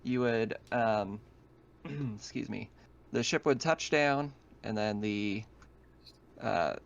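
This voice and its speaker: A man's voice: on the low side, takes it slowly, gravelly. A man casually narrating as game master of an online tabletop game, feeling his way through sentences. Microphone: online voice call. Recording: dull lo-fi voice chat.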